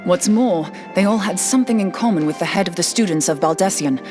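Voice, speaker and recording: A woman's voice: on the low side, brisk, music in the background, close to an everyday voice. A woman playing a blunt, wry young scholar in a fantasy game, speaking in a plain, colloquial way. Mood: grave. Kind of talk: fantasy game cutscene acting.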